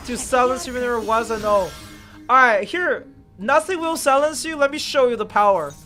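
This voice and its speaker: A man's voice: very high-pitched, moderate pace, pushed and bright, soft background music. A man whose first language is Chinese, streaming a game live and talking casually, swearing and exclaiming as he plays.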